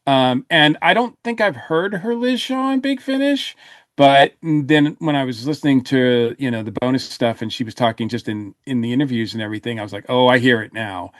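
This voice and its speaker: Halting man in casual review chat, dry sound. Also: medium pitch, no echo. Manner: halting, frequent hesitations and repeated words. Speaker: podcast panelist.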